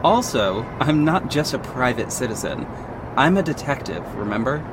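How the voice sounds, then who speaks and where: A man's voice: medium pitch, sing-song, moderate pace, background noise, lightly acted. A man playing a confident vigilante detective who narrates his own story in an earnest, dramatic audio drama style. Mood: defensive. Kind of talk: first-person audio drama narration with acted dialogue.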